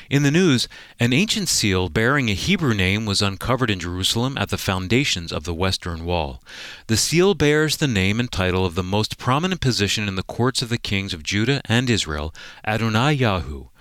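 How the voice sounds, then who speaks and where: Polished radio host with a low voice. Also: male voice, animated, normal speed, fairly bright tone, dry recording, clean studio sound. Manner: smooth, polished read. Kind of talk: scripted radio hosting and news reading.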